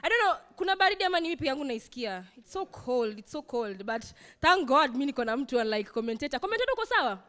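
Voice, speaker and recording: A woman's voice: sits high, very animated, very fast, few pauses, fairly bright tone. A Kenyan woman hosting a comedy night, playfully roasting guests on stage. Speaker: host. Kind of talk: stand-up compering.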